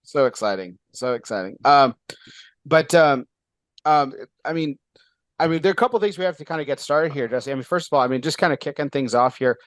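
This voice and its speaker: A man hosting a casual interview show, talking in an excitable, rambling way with lots of fillers and restarts. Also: medium pitch, fairly quick, no room sound. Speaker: podcast host.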